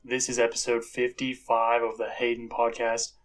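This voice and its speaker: A man's voice: medium pitch, monotone, normal speed, poor audio. A man hosting a podcast, giving a casual spoken introduction. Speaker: podcast host.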